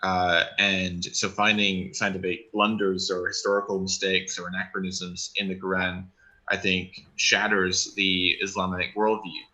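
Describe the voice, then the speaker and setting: A man debating in a measured, academic manner, choosing his words haltingly. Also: deep voice, little pitch movement, sharp tone, low-quality recording. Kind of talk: scholarly debate answer.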